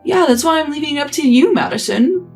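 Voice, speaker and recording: A woman's voice: high-pitched, expressive, fast, faint music behind, pitched above the performer's own voice. A woman voicing a passive, indifferent young husband who talks in an offhand, shrugging way.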